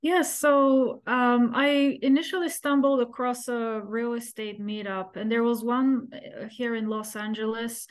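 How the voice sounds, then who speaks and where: A middle-aged woman from Bulgaria, a podcast guest answering questions in an articulate, measured way with frequent pauses. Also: fairly high pitch, steady tone. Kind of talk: interview answers.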